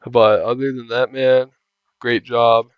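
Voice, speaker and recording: A man's voice: medium pitch, little pitch movement, slow pace, clean tone, no echo. A man giving casual video game walkthrough commentary in a plain-spoken, informal way. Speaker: video game guide maker. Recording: dull, muffled lo-fi sound.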